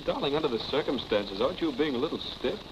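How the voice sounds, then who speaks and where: Actor as suave husband, very lively delivery. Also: male voice, a little high, quick pace, dull audio, noisy background, close to an everyday voice. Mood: exasperated. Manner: suave, wry banter. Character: suave, irritable philandering husband. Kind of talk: 1940s Hollywood film acting. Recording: old film soundtrack, music behind.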